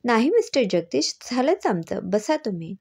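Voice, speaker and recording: A woman's voice: a little high, very animated, moderate pace, a little mellow, slightly rough, pitched above the performer's own voice, heavily acted. A woman storyteller voicing a clever, teasing woman doctor who explains things patiently and with assurance. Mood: assured.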